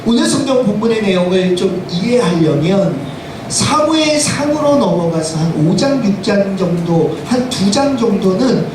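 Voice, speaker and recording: Male pastor for a church sermon: hoarse, insistent, questioning the congregation, heard from far off over clear noise. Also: lively intonation, normal speed. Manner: emphatic, asks the congregation rhetorical questions. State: hoarse from a cold. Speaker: senior pastor. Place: church sanctuary, preaching to congregation. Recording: echoey, distant, noisy room with rumble.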